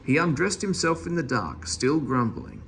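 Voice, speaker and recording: Guy reading a kids story out loud, really lively pitch, gravelly creak in the voice. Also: normal speed, slight background noise. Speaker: storybook reader. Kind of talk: children's story read aloud.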